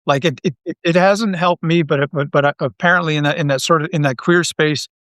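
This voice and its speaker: Higher-pitched middle-aged man, halting talker. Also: fairly even intonation, fairly bright tone, no room sound, clean studio sound. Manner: halting, repeats and restarts phrases as he thinks aloud. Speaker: guest, audio drama writer and creator. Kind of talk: casual interview talk.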